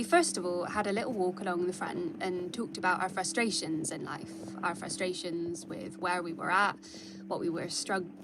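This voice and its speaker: A woman's voice: fairly low pitch, noisy background. A woman telling a candid personal story in a conversational way, acting out remembered dialogue. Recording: music behind the voice. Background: music.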